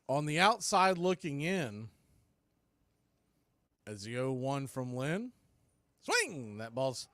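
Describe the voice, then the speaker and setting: Higher-voiced man calling baseball play-by-play. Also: a bit bright, no room sound. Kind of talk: live sports commentary with score updates.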